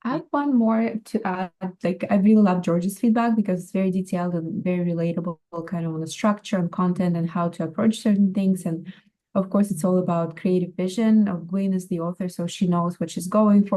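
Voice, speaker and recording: A woman's voice: lowish voice, mellow. A woman giving casual, supportive critique as a workshop participant, explaining her ideas through examples.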